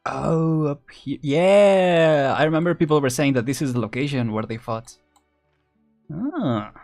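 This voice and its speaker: A man's voice: on the high side, expressive, a little slow, darkish tone. A young man streaming a game with casual commentary, musing and hesitant, lightly amused. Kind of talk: casual live gameplay commentary. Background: game music.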